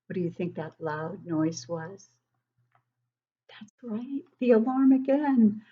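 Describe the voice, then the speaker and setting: Unhurried woman reading to young children. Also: mid-range voice, slightly rough, narrow, tinny audio. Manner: expressive, explaining asides and questions to young listeners. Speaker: children's book club host and reader. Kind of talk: read-aloud picture book storytelling for young children.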